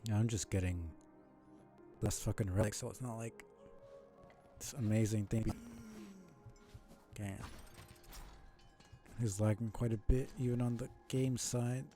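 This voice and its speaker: A man's voice: on the low side, steady tone, measured pace, long pauses, dark tone, soft background music. A man casually commentating a live video game stream, rambling along in a mumbly, mildly annoyed way.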